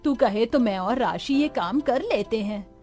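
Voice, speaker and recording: A woman plays a devoted woman of an Indian family drama, earnest and emotive. Her voice is on the high side, with faint music behind it. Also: expressive, unhurried, a little mellow. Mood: caring. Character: young caring dutiful daughter-in-law and worried mothers. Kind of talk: dramatised story dialogue. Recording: music under the voice.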